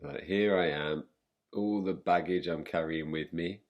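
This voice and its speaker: A man guiding a meditation in a calm, gentle, unhurried manner, leaving long pauses between phrases. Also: low voice, dull audio. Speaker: meditation guide. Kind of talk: guided meditation, yoga nidra.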